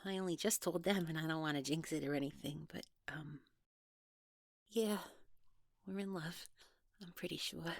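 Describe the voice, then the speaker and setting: A woman's voice: low voice, creaky, dry recording. Woman acting guarded, hesitant young woman, dry close sound. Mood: shy, in love. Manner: hesitant, halting, trailing off, nervous laughs. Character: guarded young woman, defensive, awkward, newly in love. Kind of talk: naturalistic one-sided audio roleplay acting.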